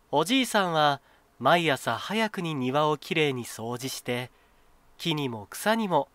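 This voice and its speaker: A man reading a children's folktale as a storyteller, in an expressive, clearly phrased scripted style. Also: on the high side, no echo.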